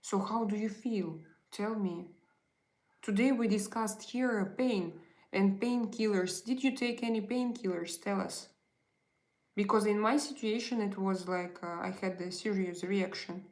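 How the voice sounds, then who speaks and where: A woman with a Russian accent hosts a relaxed livestream, speaking slowly with a gravelly edge to her voice. She chats warmly with her viewers, pausing often over her words. Her voice carries a roomy echo. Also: mid-range voice. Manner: chatty, hesitant, greets and answers chat messages. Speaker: livestream host. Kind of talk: casual livestream chat with viewers.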